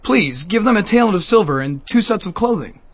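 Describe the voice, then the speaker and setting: Sneaky greedy servant, high male voice, bible drama, slightly dull sound. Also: animated, brisk, no echo. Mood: falsely innocent. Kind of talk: dramatized scripture audiobook acting. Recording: dull lo-fi sound, faint music bed.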